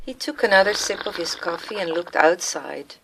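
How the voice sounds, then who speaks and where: A woman's voice: lowish voice, moderate pace, vocal fry, noisy background. A woman reading scripted story narration as the narrator of a radio play. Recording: music laid under the voice.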